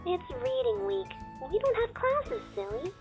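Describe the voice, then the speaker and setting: A woman's voice: very high voice, very animated, unhurried, muffled recording, over music, heavily acted. An actress playing a teasing, playful young female student in a visual novel game, with a girlish voice. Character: teasing young female schoolmate on a phone call.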